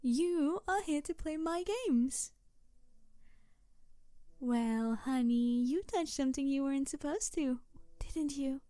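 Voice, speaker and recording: A woman playing a mischievous, excitable tanuki trickster spirit in a roleplay audio drama, teasing and flirty and easily huffy, talking straight to the listener. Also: high voice, dry recording. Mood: sly, teasing.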